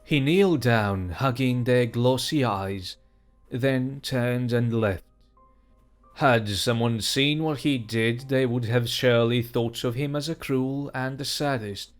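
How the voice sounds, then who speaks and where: A man reading a dark fantasy story aloud as narrator, slow and measured. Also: mid-range voice, soft background music.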